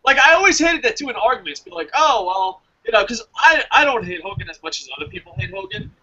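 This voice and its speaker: A man's voice: very high-pitched, big pitch swings, fast talker, gravelly, bright, forceful tone, highs cut off. An American man chatting casually and emphatically about his opinions as a review show host, swearing freely. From New Jersey, United States.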